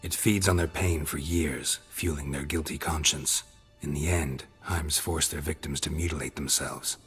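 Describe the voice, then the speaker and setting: An actor playing a grizzled monster hunter who talks in terse, clipped, matter-of-fact sentences. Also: male voice, rumbling low, steady tone, normal speed, fairly bright tone, soft background music. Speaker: game protagonist.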